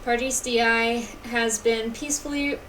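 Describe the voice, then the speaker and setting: A woman's voice: fairly high pitch, fairly even intonation, unhurried, a bit bright. A woman streamer giving casual live game commentary and reading text aloud. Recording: distant mic with background noise.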